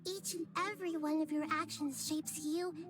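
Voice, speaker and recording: A woman voicing a young-sounding goddess in a game, speaking softly, calmly and thoughtfully, wise beyond her years. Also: high voice, normal speed, background noise, lightly acted. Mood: earnest. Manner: soft, calm and thoughtful speech. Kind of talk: game cutscene voice acting. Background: game music.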